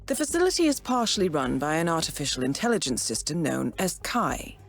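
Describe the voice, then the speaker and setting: Composed woman handler, expressive pitch. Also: creaky, soft background music, exaggerated voice. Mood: composed briefing. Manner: measured, formal, articulate. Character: composed, steely female intelligence-agency handler, guarded and defiant. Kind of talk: video game briefing and cinematic dialogue.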